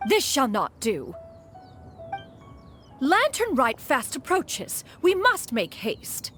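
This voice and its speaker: A woman's voice: high voice, huge pitch swings, slow pace, fairly bright tone, soft background music, exaggerated voice. A woman voicing a haughty, dignified immortal mentor in an anime game, speaking in formal, archaic phrases. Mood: stern, urgent. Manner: formal, archaic, calls herself "one". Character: haughty, dignified female immortal bird adeptus, mentor, exacting.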